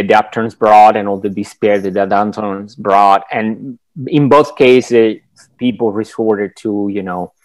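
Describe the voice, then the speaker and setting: Director guest, 40s, Italian accent, low, dry close sound, reflective and halting. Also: male voice, no room sound, poor audio. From Italy. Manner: thoughtful, halting, searches for words with many restarts. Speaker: film director, masterclass guest. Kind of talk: reflective interview answers. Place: home room, indoors. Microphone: wired earphones with mic on a video call.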